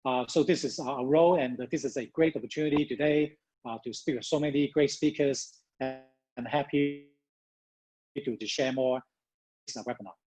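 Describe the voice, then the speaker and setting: A man with a husky, slightly breathy voice gives remarks on an online panel. He halts and repeats words as he speaks. It sounds like a video call. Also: medium pitch, moderate pace, a little mellow, rough recording. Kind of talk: webinar remarks.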